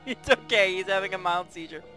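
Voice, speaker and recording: A woman's voice: mid-range voice, fairly quick, pushed and bright. A woman co-hosting a game stream, chatting casually in a teasing, sweary way. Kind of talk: casual chat, teasing co-host. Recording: music clearly playing behind voice. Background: music.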